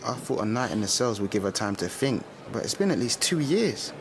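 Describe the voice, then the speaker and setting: A man's voice: mid-range voice, big pitch swings, brisk, background noise. An actor playing a confident, street-smart young man with a London accent in a radio drama, slangy and opinionated. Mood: earnest. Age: young adult. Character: confident young British man, outspoken musician-turned-speaker, street-smart. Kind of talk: naturalistic radio drama dialogue.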